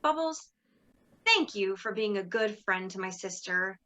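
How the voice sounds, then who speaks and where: A woman's voice: medium pitch, animated, a little slow, sharp tone. A young woman playing a sardonic, exasperated sorority leader in a dramatic reading, theatrical and emphatic. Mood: coolly formal.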